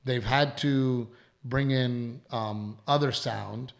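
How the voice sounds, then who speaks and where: Man, gravelly voice, worn and strained, rambling podcast host, swears casually. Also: mid-range voice, steady tone, slow pace. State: voice worn from allergies and shows.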